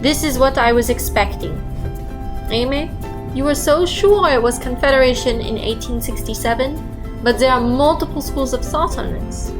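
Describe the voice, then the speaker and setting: Audio drama role: high-pitched, composed, precise teenage girl woman actor, music behind. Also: moderate pace, natural voice. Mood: matter-of-fact, firm.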